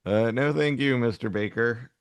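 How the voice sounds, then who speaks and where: A man's voice: mid-range voice, normal speed, smooth, no room sound. A man co-hosting a podcast in an easygoing way, chatting casually and reading comic lines aloud. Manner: easygoing, chuckles often. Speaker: podcast co-host.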